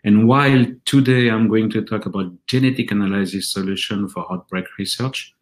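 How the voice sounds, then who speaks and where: A man with a French accent giving a measured, earnest conference presentation for his company. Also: lowish voice. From France. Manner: earnest, measured delivery with occasional restarts.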